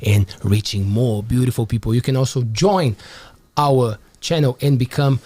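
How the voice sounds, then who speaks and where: A man's voice: medium pitch, normal speed, dark tone. A man hosting a podcast, running through an upbeat promotional intro, then interviewing with frequent restarts. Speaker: podcast host.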